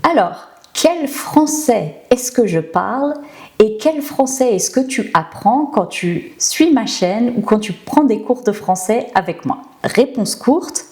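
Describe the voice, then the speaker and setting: A woman's voice: a little high, lively intonation, slow, dark tone. A middle-aged woman from France with a French accent, teaching the language and thinking aloud through rhetorical questions. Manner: reflective, asks herself rhetorical questions. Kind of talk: explanatory talk to camera.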